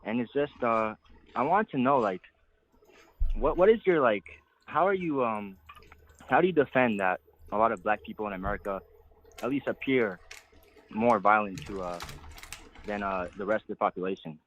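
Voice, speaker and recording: A nineteen-year-old man from Canada calling in to a show, talking hesitantly and haltingly. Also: lowish voice, animated. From Canada, Montreal. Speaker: caller. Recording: dry, tinny phone line.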